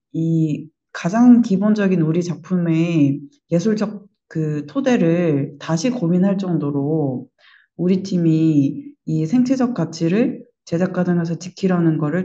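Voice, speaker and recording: Woman, creative team interviewee. Dark, soft-edged tone, earnest, explanatory, reflective; recording a little muffled. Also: fairly low pitch.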